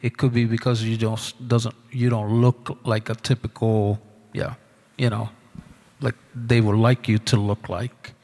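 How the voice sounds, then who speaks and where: A man with a Puerto Rican accent moderating a panel, speaking haltingly and searching for his words. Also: lowish voice, level tone, a little mellow. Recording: reverberant hall.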